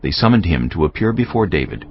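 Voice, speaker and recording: A man narrating scripture from a script in a solemn, deliberate storytelling manner. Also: sits low, sing-song, slight background noise. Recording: dull lo-fi audio over music.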